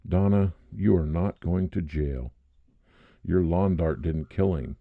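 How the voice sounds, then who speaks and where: A man playing a calm police detective in an old-time radio mystery, plain-spoken and reassuring as he asks questions. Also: sits low, slow, creaky, no room sound, narrow, tinny audio, exaggerated voice.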